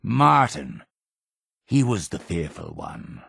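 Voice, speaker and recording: A man's voice: low voice, huge pitch swings, slow, vocal fry, slightly rough, no room sound, studio quality, full character voice. An actor playing a paranoid, imperious male cult leader in a video game, with a theatrical, preachy and menacing delivery. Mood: bitter, resentful. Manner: theatrical, preachy, menacing, swinging from hushed to shouting. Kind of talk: dramatic video game voice acting.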